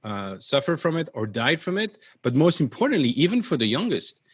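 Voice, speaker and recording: A man from Belgium with a Belgian accent, hosting a conversational interview in an animated, halting way that repeats words. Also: on the high side, husky, dry recording. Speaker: show host.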